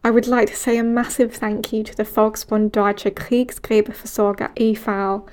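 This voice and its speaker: Earnest young woman, mellow and even. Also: mid-range voice. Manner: measured, earnest reading. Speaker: podcast host and project researcher. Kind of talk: scripted reflective narration.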